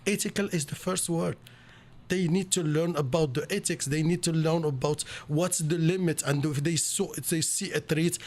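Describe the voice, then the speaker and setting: A middle-aged man with a Moroccan accent, explaining things as an interview guest in halting, rebuilt phrases. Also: on the high side. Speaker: interview guest, computer science instructor.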